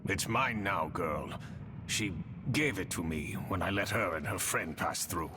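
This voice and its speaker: An actor playing a weary, nervous watch commander in a video game cutscene, hesitant and grudging. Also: male voice, deep voice, measured pace, background noise. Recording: loud game score behind voice.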